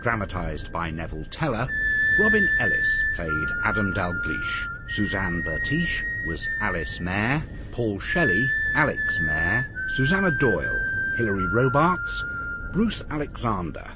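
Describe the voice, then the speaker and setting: A man's voice: lowish voice, wildly swooping pitch, a little slow, over loud music, low-quality recording. A British man reading scripted closing credits as a radio announcer. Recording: loud sound behind the voice.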